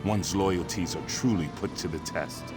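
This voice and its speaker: An actor playing an ancient, wise and haunted warrior spirit in a video game, speaking in a grave, measured, formal way. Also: male voice, sits low, close to an everyday voice. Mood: pensive, testing. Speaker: game character posing moral tests. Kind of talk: scripted video game voice acting. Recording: music under the voice.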